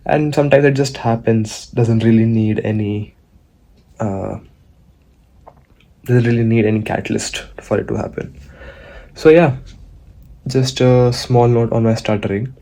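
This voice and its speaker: A man's voice: medium pitch, fairly even intonation, measured pace, long pauses, mellow. A young man from India, talking casually to the camera in a vlog, with many fillers and restarts. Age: young adult. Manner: conversational, frequent restarts and fillers. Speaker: vlogger. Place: outdoors, walking.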